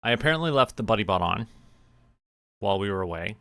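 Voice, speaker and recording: A man's voice: fairly low pitch, animated, quick pace, vocal fry, bright, forceful tone, no room sound. A man chatting casually on a livestream, rambling to himself as he goes about small tasks. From Canada. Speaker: streamer.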